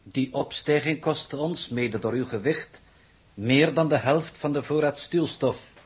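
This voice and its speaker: Precise, cold-blooded coordinator in sixties sci-fi radio drama; medium-pitched, slightly rough, stiffly formal. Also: male voice. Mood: coolly pointed. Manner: formal, measured, pedantic. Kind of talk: 1960s radio drama acting.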